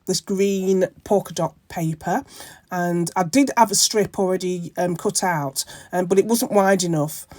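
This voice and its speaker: A woman with a croaky voice from a bad cold, hosting her own crafting channel and talking through a project in a rambling way, with many ums and self-corrections. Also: fairly low pitch, measured pace, a little mellow, no echo. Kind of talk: casual crafting tutorial narration.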